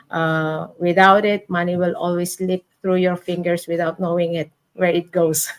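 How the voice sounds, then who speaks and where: Woman mentor, lowish voice, earnest advice with frequent "okay?" check-ins. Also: low-quality recording. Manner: repeats "okay?" to check in, restarts phrases. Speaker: mentor and teacher sharing finance tips. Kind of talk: online group talk.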